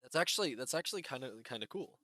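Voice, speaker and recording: A man hosting a reaction video with casual unscripted commentary, halting and repeating his phrases. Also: medium pitch, lively intonation, pushed and bright, no echo, clean studio sound.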